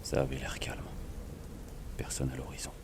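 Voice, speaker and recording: A man acting a grave, tender companion and narrator in an audio drama, in a breathy, halting near-whisper. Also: rumbling low, monotone, background noise. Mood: tense, brooding. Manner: slow, halting, solemn. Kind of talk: French thriller audio drama, intimate narration.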